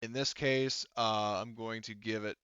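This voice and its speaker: A man acting as game master of a tabletop roleplaying game, talking informally and haltingly with some swearing. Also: on the low side, fairly even intonation, fairly bright tone, smooth, dry recording, muffled recording.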